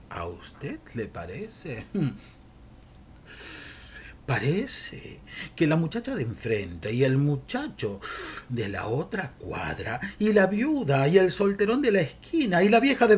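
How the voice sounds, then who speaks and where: Older Rioplatense man, husky voice, wildly swooping pitch, mock-gossip verse read to a webcam at home. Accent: Rioplatense Spanish. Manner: theatrical, mock-gossipy, chuckling asides. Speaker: monologue host. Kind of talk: recited humorous verse monologue. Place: home room, indoors. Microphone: webcam at desk.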